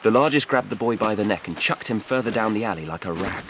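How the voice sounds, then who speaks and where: A male narrator reads dramatic fiction for an audiobook. His voice is on the low side and moves animatedly up and down. A faint bed of noise runs behind the reading. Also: lively intonation, fast.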